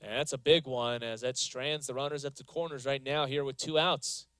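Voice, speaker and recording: A man calling live softball play-by-play as a sports announcer, moving between measured stat recaps and calls of the action. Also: medium pitch, steady tone, clean tone, no room sound. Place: live broadcast from a softball stadium.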